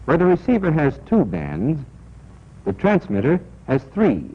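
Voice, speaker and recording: An American man narrating a 1940s military training film in a scripted, deliberate, instructional and emphatic manner, on an old film soundtrack. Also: on the high side, wildly swooping pitch, husky. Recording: dull, band-limited 1940s film soundtrack.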